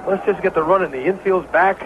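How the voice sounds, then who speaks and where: A man's voice: sits high, brisk. A man giving conversational, opinionated color commentary on a radio baseball broadcast, with a bantering manner. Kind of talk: conversational radio sports analysis. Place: ballpark broadcast booth. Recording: very noisy, phone-like 1970s radio broadcast recording.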